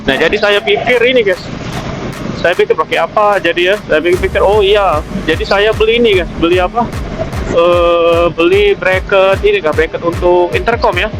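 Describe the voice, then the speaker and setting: Male motovlogger, high voice, informal and halting delivery that often circles back on itself, music under the talk. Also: low-quality recording. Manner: halting, repetitive, self-questioning. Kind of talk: casual vlog chat.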